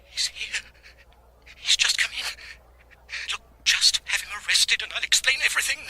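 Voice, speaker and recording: An actor playing a frightened, excitable little man pleading urgently over a noisy phone in a radio drama. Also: very high voice, normal speed. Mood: panicked. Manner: agitated, urgent, breathless delivery.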